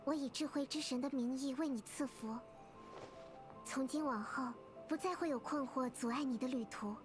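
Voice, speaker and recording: A woman voicing a gentle, childlike goddess of wisdom in a video game, serene and grateful. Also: high-pitched, normal speed, over music, natural voice. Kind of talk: scripted video game voice acting.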